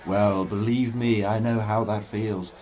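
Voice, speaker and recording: A man playing a smooth, scheming politician in a radio drama, speaking in a pompous, florid and formal way. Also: lowish voice, little pitch movement, unhurried, a little mellow, a little hiss or hum, close to an everyday voice, rough recording. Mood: falsely cordial. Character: smooth, scheming male politician villain, falsely cordial.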